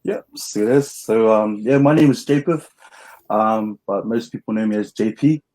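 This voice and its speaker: A man's voice: fairly low pitch, moderate pace, dark tone, rough recording. A young man from New Zealand with a New Zealand accent, telling his story as an interview guest in an easygoing, plain way.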